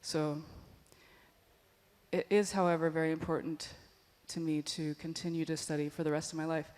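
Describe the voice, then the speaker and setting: A woman panelist giving a thoughtful, measured answer at a museum panel discussion. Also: low voice, flat delivery, darkish tone. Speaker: panelist artist.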